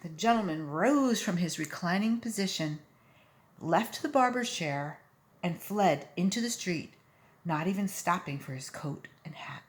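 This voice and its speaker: A woman reading a children's story aloud in an expressive, emphatic storytelling manner. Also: on the low side, unhurried. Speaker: volunteer story reader.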